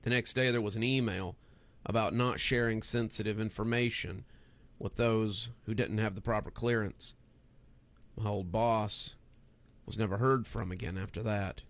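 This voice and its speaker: A man's voice: lowish voice, steady tone, moderate pace, fairly bright tone. A man reading a scripted first-person horror story as its narrator.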